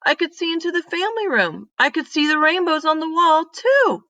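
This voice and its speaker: A woman voicing an eager, determined young girl detective in a children's storybook, earnest and excitable. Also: very high voice, moderate pace, voice pushed up, exaggerated voice. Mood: excited, amazed.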